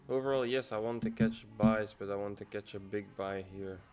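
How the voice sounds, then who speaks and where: Laid-back young man, low voice. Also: fairly even intonation, brisk, a little mellow. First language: Dutch. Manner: casual, loose, musing half to himself. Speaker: trading livestreamer. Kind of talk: live-stream commentary, thinking aloud.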